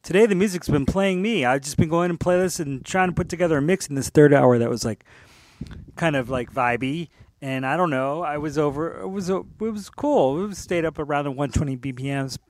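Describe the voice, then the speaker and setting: A man's voice: fairly high pitch, normal speed, dry recording. A man hosting a music radio show, talking casually and off the cuff in a rambling, playful way, as if thinking aloud. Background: faint-to-clear music bed.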